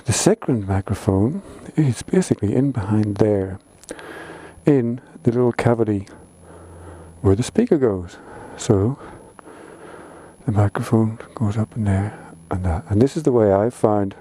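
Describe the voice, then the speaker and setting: Mellow low-key man explaining gear. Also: unhurried, husky. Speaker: vlogger. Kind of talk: casual how-to vlog explanation.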